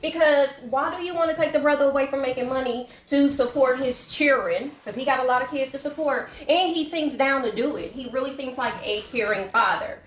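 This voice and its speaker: A woman's voice: sits high, a little fast, few pauses. A woman, chatting to her viewers while she cleans at home, easygoing and gossipy, calling them y'all. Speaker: vlogger. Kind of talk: casual chat while cleaning. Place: home living room with hardwood floor. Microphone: camera set up across the room. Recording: echoey room.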